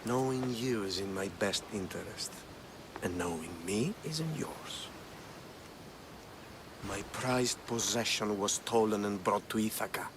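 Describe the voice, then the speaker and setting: An actor playing a middle-aged villain in a video game, smooth and cold, speaking in a measured, condescending way. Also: male voice, fairly low pitch, noisy background, lightly acted. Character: smooth, sinister middle-aged male schemer and employer. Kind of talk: video game cutscene acting.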